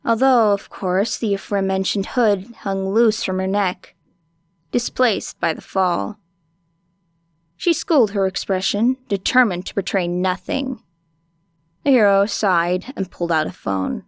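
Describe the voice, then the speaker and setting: A woman narrates fiction expressively, as for an audiobook. Her delivery is slow and deliberate, with a lot of vocal fry, in a very dry recording. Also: medium pitch, dry recording. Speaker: audiobook reader.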